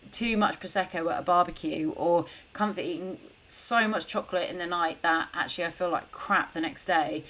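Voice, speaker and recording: A woman's voice: lowish voice, fairly bright tone, rough recording. A young adult woman, hosting a solo podcast and giving earnest, conversational advice with frequent fillers. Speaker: podcast host and coach.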